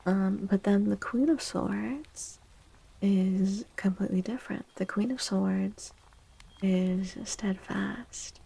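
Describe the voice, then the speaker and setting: A woman streaming tarot readings in a soft ASMR style, gentle and breathy, often near a whisper with unhurried pauses. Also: mid-range voice, poor audio.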